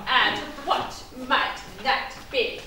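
A woman's voice: a little high, very slow, sharp tone, heavily acted. A woman playing a scheming, malevolent pantomime villain on stage, declaiming with imperious, gloating relish. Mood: imperious, impatient. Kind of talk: theatrical pantomime stage acting, rhyming verse. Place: stage of a theatre. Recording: echoey theatre hall from far off, noisy.

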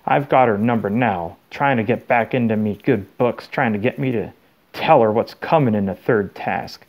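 A man voices a massive, loyal, short-fused groundskeeper from a fantasy storybook. The speech is rustic and blunt with rough grammar, in a gravelly voice. The sound is a little dull. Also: fairly low pitch, moderate pace, close to an everyday voice. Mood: grim, scolding. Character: huge, rough, loyal, hot-tempered groundskeeper.

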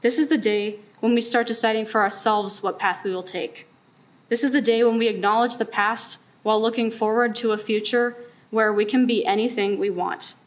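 Young woman graduate, earnest, repeats phrases for effect, slightly high voice. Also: fairly even intonation, moderate pace. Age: young adult. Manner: earnest, rhetorical repetition. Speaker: graduating student speaker. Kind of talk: commencement speech.

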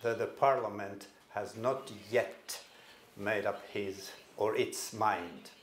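An older man from Finland with a Finnish accent gives a conference presentation in a hall, speaking haltingly and repeating words as he restarts. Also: on the low side, steady tone, a little hiss or hum. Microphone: stand microphone at a podium.

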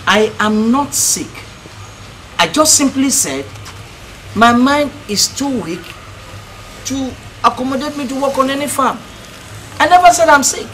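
A young Nigerian village labourer in a screen drama, troubled and irritable. His delivery swings widely in pitch and stumbles over repeated words, over clear outdoor background noise. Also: big pitch swings, normal speed, a bit bright, poor audio. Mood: angry. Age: young adult. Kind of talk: film drama acting.

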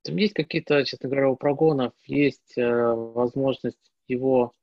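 A man's voice: mid-range voice, fairly even intonation, normal speed, creaky, no echo. Man, 30s, easygoing matter-of-fact speaker, dry, slightly muffled earbud audio. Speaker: conference speaker. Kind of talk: casual Q&A on a video call. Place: small wood-paneled room. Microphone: earbuds on a video call.

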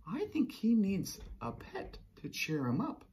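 A man reading a children's storybook aloud and voicing a caring, wise mother brown bear who speaks softly with concern. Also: high-pitched, huge pitch swings, measured pace, husky, pitched above the performer's own voice, heavily acted. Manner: soft, concerned and thoughtful.

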